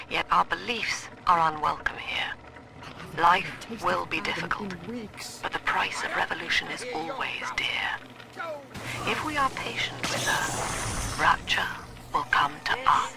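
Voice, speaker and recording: A woman playing a cold, commanding psychiatrist and cult leader in a video game, speaking in measured, solemn oratory. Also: fairly low pitch, noisy background. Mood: hushed, solemn. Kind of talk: scripted dramatic game dialogue. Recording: echoey reverberant hall, music behind.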